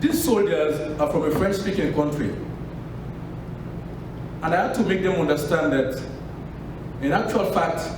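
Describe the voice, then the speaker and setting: A man from Sierra Leone with a Sierra Leonean accent, telling a personal story to an audience at a book launch in a measured, pausing way. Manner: measured, pausing, drops low at phrase ends. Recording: echoey room from a distance with noise.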